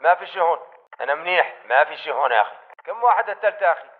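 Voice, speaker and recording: An actor plays a wary militant man on guard in a video game. He talks in terse, rapid lines in Levantine Arabic. His pitch sits high for a man. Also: lively intonation, phone-quality sound, close to an everyday voice. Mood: matter-of-fact. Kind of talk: video game battle chatter.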